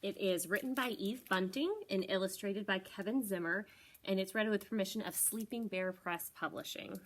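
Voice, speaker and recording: A woman's voice: medium pitch, moderate pace, a little hiss or hum. A woman in her thirties reading a children's storybook aloud expressively as a library storytime presenter. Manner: expressive, addressing young children. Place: small library corner, indoors.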